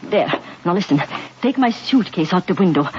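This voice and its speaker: A cool, composed woman spy with a Hungarian accent, voiced by an actress, who turns urgent under pressure. Her voice rises and falls in a lively way, through a dull, hissy old radio broadcast. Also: lowish voice, moderate pace, natural voice. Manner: poised, urgent. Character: poised female undercover agent posing as a maid. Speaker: lead actress and narrator. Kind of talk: 1950s radio drama acting.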